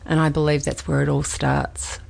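A woman's voice: low-pitched, flat delivery, moderate pace, gravelly, darkish tone. A woman sharing earnestly in a women's circle. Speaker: group member.

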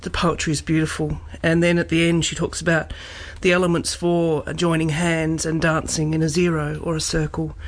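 Heartfelt hesitant woman, steady tone. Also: low-pitched, moderate pace, few pauses. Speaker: group member. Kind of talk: sharing in a women's circle.

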